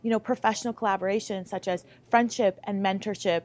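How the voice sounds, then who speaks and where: A young woman in her late twenties with an American accent speaks at a medium pitch. She answers interview questions as a student researcher, explaining things clearly and articulately. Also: fairly even intonation, fast talker, darkish tone. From Lithuania, moved to the US Midwest at about eight. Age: late 20s. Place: laboratory, indoors. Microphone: lapel mic.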